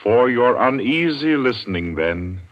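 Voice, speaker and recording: A man narrating a 1940s radio drama as announcer, theatrical and deliberate, with suspenseful pauses. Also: medium pitch, expressive, slight background noise. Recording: muffled old radio broadcast, loud music. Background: loud dramatic music.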